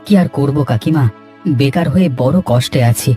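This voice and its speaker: A man's voice: medium pitch, normal speed, mellow, soft background music, lightly acted. A man playing a simple, troubled young villager in a cartoon, speaking in a humble, plaintive way. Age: young adult. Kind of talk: Bengali moral-story cartoon voice acting.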